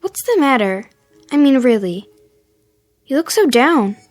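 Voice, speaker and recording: An actress in a radio drama playing an earnest, caring teenage daughter who questions anxiously. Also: female voice, sits high, sing-song, a little slow, a little mellow, dry recording, faint music behind. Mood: concerned. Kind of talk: naturalistic radio drama acting.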